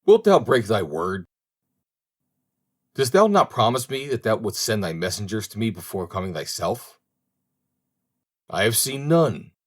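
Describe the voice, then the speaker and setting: A man's voice: fairly low pitch, big pitch swings, measured pace, long pauses. A man voicing a carefree, naive young mortal in a storybook, with earnest, old-fashioned speech. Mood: indignant.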